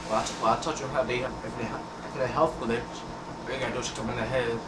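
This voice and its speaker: New teen dude talking over a game, keeps repeating himself, sounds far off in a noisy echoey room. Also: male voice, mid-range voice, flat delivery, fast. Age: teens. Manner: rambling, repeats words, restarts often. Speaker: gameplay channel host. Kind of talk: casual talk over gameplay. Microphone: headset mic.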